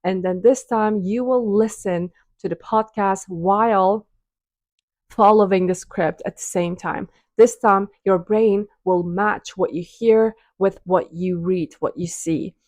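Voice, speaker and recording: Young woman, Turkish accent, casual vlogger, mid-pitched, dry close sound, chatty and loose. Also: steady tone, slow pace, darkish tone, no echo. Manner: chatty, restarts phrases while explaining. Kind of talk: casual explainer to camera.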